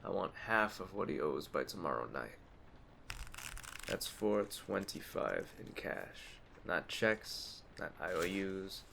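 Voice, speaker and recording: Man playing a deep, gravelly mafia Don. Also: heavily acted. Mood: calm, quietly menacing. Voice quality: gravelly, low. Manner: measured, deliberate, smooth-talking, faintly menacing. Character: mafia Don, calm, courteous, quietly menacing crime boss. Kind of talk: ASMR roleplay addressed to the listener. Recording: steady noise of storm ambience behind. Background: storm ambience.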